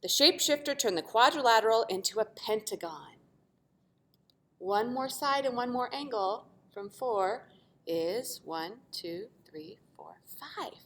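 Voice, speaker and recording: A woman teacher reading a storybook expressively to children, pausing to explain simply and ask questions. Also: on the high side. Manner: animated, asks the listeners questions.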